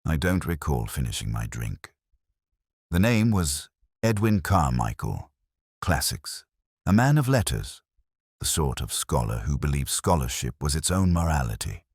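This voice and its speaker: A man plays a weary older detective looking back on a case in a mystery audio drama. The voice is extremely deep, rising and falling expressively in measured, literary phrases. It sounds brooding and wry, recorded dry and close. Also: very animated, a little mellow, breathy edge, no room sound, heavily acted. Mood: sombre, reflective. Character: weary, melancholy older male English detective narrating his case. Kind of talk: literary first-person mystery narration.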